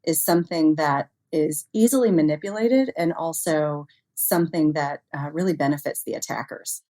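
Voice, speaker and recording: A woman with a notably low voice speaks as an expert guest in an interview. She explains ideas in a conversational way and leans on everyday examples and hypotheticals. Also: normal speed, mellow. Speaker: interview guest, expert on human error in cybersecurity.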